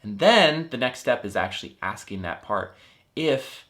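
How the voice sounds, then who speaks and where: A man in his thirties, a life coach, gently and earnestly explaining a therapy method, with frequent fillers and restarts. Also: mid-range voice, very animated, a little slow. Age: 30s. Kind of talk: explanatory teaching talk.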